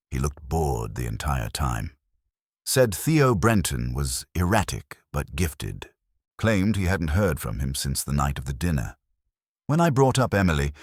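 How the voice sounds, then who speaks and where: A man's voice: rumbling low, no echo. A man reading a first-person detective story as an audiobook narrator, in a dry, wry hard-boiled storytelling manner.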